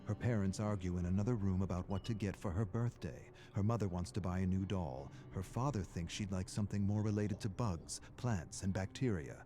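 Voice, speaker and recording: A man performing as a solemn storyteller, narrating a video game's character stories in measured, dramatic phrases. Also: deep voice, dark tone, lightly acted.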